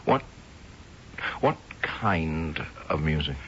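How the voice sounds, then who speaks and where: A young colonial army officer in an old radio play, swooping widely in pitch. He is imperious, exasperated and theatrically sardonic, heard through dull sound with faint noise. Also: sing-song, drawn-out words, a bit bright, full character voice. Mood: impatient. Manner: theatrical, mock-archaic, sardonic. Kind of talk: radio drama acting.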